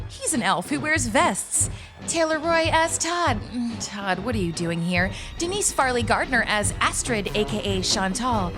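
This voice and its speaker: A woman playing a brash, sassy, impatient fantasy character who reads audio drama credits with boastful, exasperated asides. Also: a little high.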